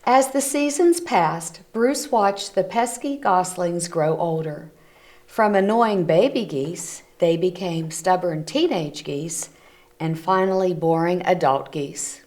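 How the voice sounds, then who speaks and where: A woman reads a children's picture book aloud at an unhurried, slow pace. Her voice sits fairly low, and she gently stops to explain the pictures to young listeners. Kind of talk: read-aloud storytelling for children. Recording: community TV recording.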